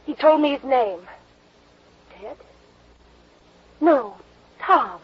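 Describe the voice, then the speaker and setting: An actress playing a dazed, frightened young woman in a 1950s radio drama, halting and trailing off, on a dull old broadcast recording. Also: sits high, lively intonation, breathy edge, exaggerated voice. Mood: dazed, shaken.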